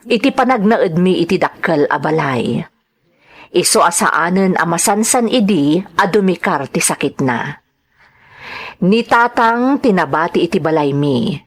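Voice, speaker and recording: A woman with an Ilocano accent giving scripted first-person narration of a story. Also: fairly low pitch.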